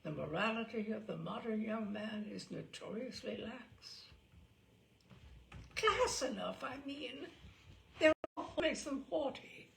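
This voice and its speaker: A woman voicing a flustered, aggrieved young suitor who blurts things out excitably. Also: on the high side, takes it slowly, gravelly, a little hiss or hum, full character voice. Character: flustered, aggrieved, tipsy-sounding young English suitor, male.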